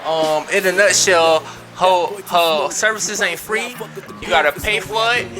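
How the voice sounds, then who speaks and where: A man's voice: sits high, measured pace, music in the background, low-quality recording. A man hosting a casual interview, loose and joking, speaking in slang and turning to address the viewers. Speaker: interviewer host.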